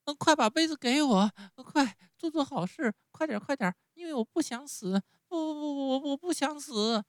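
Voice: mid-range voice, wildly swooping pitch, moderate pace, fairly bright tone, dry recording, clean studio sound, pitched above the performer's own voice. A storyteller voicing a stubborn, whining wooden puppet boy who is sick in bed. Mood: wailing. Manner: whining, pleading, wailing.

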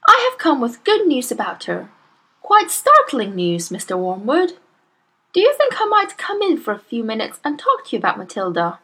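A woman's voice: fairly high pitch, huge pitch swings, normal speed, sharp tone, husky, pitched above the performer's own voice, heavily acted. A woman voicing a firm, earnest young teacher. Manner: polite but resolute.

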